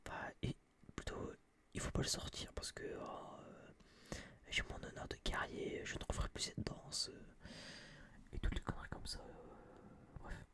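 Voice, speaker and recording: A young man whispering a casual, opinionated ASMR review in a breathy voice, rambling and slangy, close to a binaural microphone. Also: a little slow. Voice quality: breathy, mostly unvoiced whisper. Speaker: host of an ASMR film review video.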